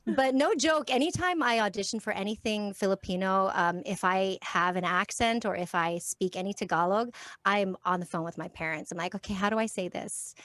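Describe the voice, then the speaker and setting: A woman's voice: mid-range voice, normal speed, fairly bright tone, dry recording. A middle-aged American woman chats over a video call, often restarting her sentences and laughing easily. Her voice sits in a mid register and sounds dry and close from a treated home room. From United States. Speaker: voice actor guest. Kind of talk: casual video-call chat.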